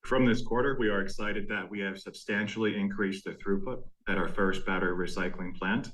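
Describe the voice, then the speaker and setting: A man speaking as a company executive, delivering a prepared earnings presentation in a measured, formal way. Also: lowish voice, steady tone, fairly bright tone, rough recording. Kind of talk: prepared corporate presentation, scripted narration.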